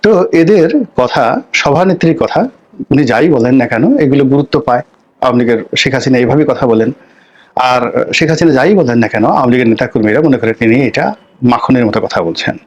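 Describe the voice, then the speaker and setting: A middle-aged man with a Bangladeshi accent gives unscripted political commentary and personal anecdotes, phrasing things deliberately with drawn-out pauses. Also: medium pitch, dull audio. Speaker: political commentator, former parliamentarian.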